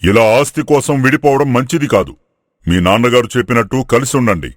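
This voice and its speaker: A man playing a grave village elder in a moral story, giving measured counsel. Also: mid-range voice, no room sound, studio quality, close to an everyday voice.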